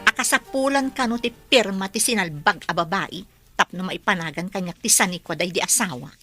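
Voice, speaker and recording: A woman's voice: mid-range voice, fairly quick, sharp tone, soft background music, natural voice. A woman with an Ilocano Filipino accent playing a bossy, greedy schemer in a melodramatic drama. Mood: scheming. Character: scheming greedy woman plotting to seize an inheritance.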